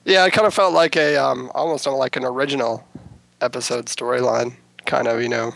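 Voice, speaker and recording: A man's voice: mid-range voice, steady tone, a little fast, vocal fry, fairly bright tone, no room sound, low-quality recording. A man co-hosting a podcast in a casual, conversational style. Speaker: podcast co-host. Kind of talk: casual discussion, some read summary.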